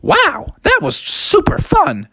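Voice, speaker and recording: A woman voicing an excitable, mischievous young male frog in a children's story, eager and full of questions and exclamations. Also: sits high, takes it slowly, creaky, pitched above the performer's own voice, heavily acted. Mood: excited.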